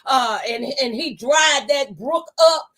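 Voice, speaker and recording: High-voiced woman giving emphatic faith encouragement. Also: slow, fairly bright tone. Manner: emphatic, exhorting, repeats phrases for effect. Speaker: talk show panelist giving testimony. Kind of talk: motivational faith testimony, preaching conversationally.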